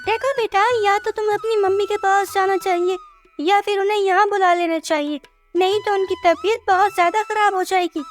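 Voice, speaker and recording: A woman's voice: very high-pitched, steady tone, moderate pace. A woman playing a caring, concerned older mother-in-law who admonishes her family in a scripted moral story cartoon. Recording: music behind the voice.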